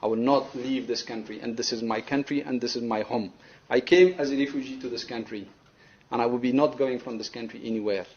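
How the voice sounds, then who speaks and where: A man from Afghanistan with an Afghan accent giving a courtroom statement, earnest and halting but firm and resolute. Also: medium pitch, steady tone, darkish tone, lo-fi sound. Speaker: attack survivor giving a victim statement. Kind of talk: courtroom statement, partly spontaneous.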